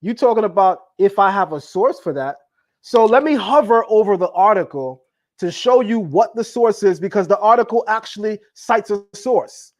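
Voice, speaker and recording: A man's voice: high voice, lively intonation, normal speed. A man hosting a heated live debate, emphatic and boastful, repeating himself as he shows his sources. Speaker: host.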